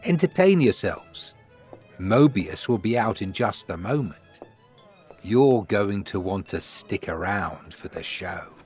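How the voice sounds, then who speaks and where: A man playing a sly, courteous crime lord's associate with veiled menace in a fantasy audio drama. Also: fairly low pitch. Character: smooth, sly male old friend serving a crime lord.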